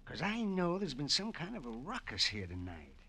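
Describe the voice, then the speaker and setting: An actor playing a folksy, inquisitive gentleman farmer, hesitant and repeating himself, in a 1940s radio drama. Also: fairly high pitch, wildly swooping pitch, normal speed, husky, exaggerated voice. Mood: nosy.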